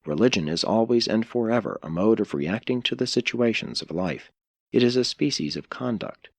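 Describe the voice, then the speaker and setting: A man reading a philosophical religious audiobook in a measured, solemn, formal way. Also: fairly low pitch, lively intonation, no room sound. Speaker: audiobook narrator. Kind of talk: scripted audiobook narration of a philosophical religious text.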